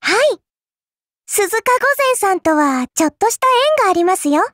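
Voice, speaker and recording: Very high-pitched sly little girl. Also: lively intonation, normal speed, fairly bright tone, dry recording, clean studio sound. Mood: chatty, polite. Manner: polite, coy, teasing, cutesy. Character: small cute girl spirit servant, sly, smug, playful. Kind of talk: anime game character voice lines.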